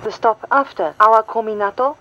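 A woman reading a scripted automated train announcement in a formal, measured and clearly phrased way. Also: a little high. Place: played over a train's announcement system. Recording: muffled train speaker recording, cabin noise. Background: faint train running noise.

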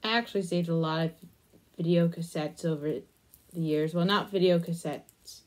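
Low, mellow young woman chatting casually. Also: fairly even intonation, normal speed. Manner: absorbed, half talking to self. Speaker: crafting vlogger. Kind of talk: casual crochet demonstration chat. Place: small attic room, indoors.

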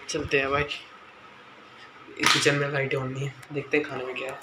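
A hoarse teenage boy with a sore throat, chatting casually to his viewers in a home vlog filmed on a phone held at arm's length. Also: medium pitch, monotone, a little fast, heavy background noise. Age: teens. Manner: chatty, filler-heavy, addresses viewers as "bhai" and "guys". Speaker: vlogger. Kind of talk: casual day-in-the-life vlog. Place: small home rooms indoors. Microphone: phone camera held at arm's length.

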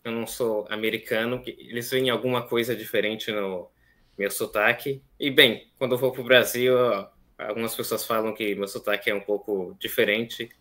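A young man in his twenties from São Paulo speaks with a São Paulo accent, soft-spoken and hesitant, pausing on fillers. His voice sits somewhat low. He talks over a webcam from a small plain room. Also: fairly even intonation. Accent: São Paulo Portuguese. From São Paulo, Brazil. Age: 20s. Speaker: seminarian guest. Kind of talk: casual interview, recounting his story. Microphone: video call webcam.

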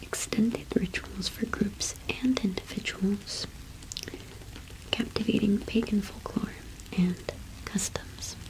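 A woman in her twenties whispers in a soft ASMR roleplay as a friendly cottage witch. Her pitch is average for a woman, and she takes her time, with small hesitant pauses. The delivery is intimate and close to the microphone. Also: steady tone, poor audio. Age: 20s. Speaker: ASMR performer playing a cottage witch shopkeeper.

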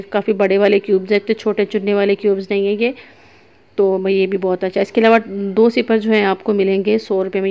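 A woman's voice: medium pitch, gravelly, darkish tone, dull audio. A woman showing items in a crowded shop, chatting to viewers and calling out prices in a repetitive way. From Pakistan. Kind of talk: casual shopping walkthrough.

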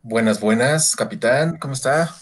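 A Mexican man in his thirties chatting casually as a call-in guest, with slangy, relaxed phrasing. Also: mid-range voice, moderate pace, a little hiss or hum. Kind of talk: casual chat. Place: indoor room on a video call.

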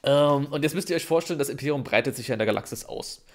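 A man's voice: mid-range voice, rapid-fire. A man co-hosting a podcast, explaining in a chatty way with many fillers, still slightly sick with a cold. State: has a cold, coughing. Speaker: podcast co-host.

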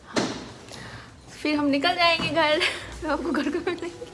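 A woman's voice: high-pitched, fairly even intonation, unhurried, music in the background. A young woman eagerly recommending a place to viewers in a casual travel vlog, speaking into a handheld phone. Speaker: vlogger. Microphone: phone camera at arm's length. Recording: loud background noise.

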